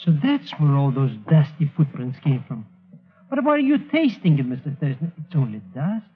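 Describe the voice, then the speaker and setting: Fretful comic sidekick, very high, animated voice, 1940s radio drama. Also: male voice, moderate pace, slightly rough. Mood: nervous, alarmed. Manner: excitable, fretful, exclaiming. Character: excitable, nervous male sidekick to an agent. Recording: thin phone-like old radio recording.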